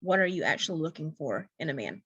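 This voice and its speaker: A young adult woman and dating coach, speaking softly and encouragingly in a direct-to-camera talk. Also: low-pitched, lively intonation, fast talker, husky, no echo, muffled recording. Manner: soft-spoken, warm and encouraging.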